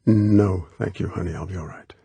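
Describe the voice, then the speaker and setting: A man voicing a tough, protective action hero in an audiobook, with a clipped, low-key, commanding manner. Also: moderate pace, vocal fry, mellow, slightly rough, dry recording, exaggerated voice. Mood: tender, reluctant.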